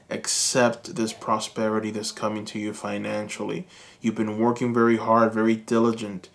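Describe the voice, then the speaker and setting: A Cuban American man giving a calm, reassuring tarot reading, speaking slowly and soothingly to his viewers. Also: mid-range voice. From United States. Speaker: tarot reader. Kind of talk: pick-a-card tarot reading.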